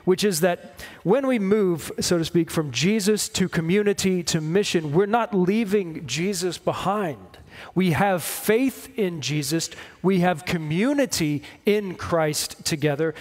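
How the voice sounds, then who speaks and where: A man in his forties preaching a sermon, explaining in measured short phrases with pauses. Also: high voice, darkish tone. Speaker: pastor preaching. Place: church stage at a lectern, indoors.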